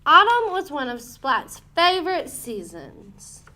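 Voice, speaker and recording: A woman narrating a children's storybook as an expressive read-aloud, with dramatic, deliberate emphasis. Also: high-pitched.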